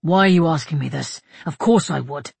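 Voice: medium pitch, moderate pace, breathy edge, no echo, close to an everyday voice. A narrator playing a proud, dutiful warrior, a man who speaks bluntly and warily in an audiobook. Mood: defensive, proud. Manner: curt, defensive, blunt. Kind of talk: audiobook character dialogue.

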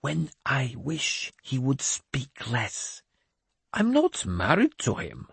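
A lofty, crafty madman of a bishop, his voice pitched high and stretched out in a slow, sing-song sermon cadence. He sounds slightly husky, and the sound is dry and close, as in an audiobook. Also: very animated, dry recording, heavily acted. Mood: scornful. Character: mad, sly, lofty self-proclaimed male bishop.

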